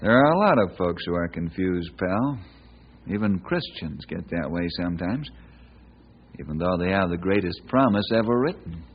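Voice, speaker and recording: Calm western ranger, noisy old recording. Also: male voice, deep voice, moderate pace, lo-fi sound. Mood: earnest. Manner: wry, reassuring, homespun. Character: calm, brave, devout western forest ranger hero. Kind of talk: old time radio western acting.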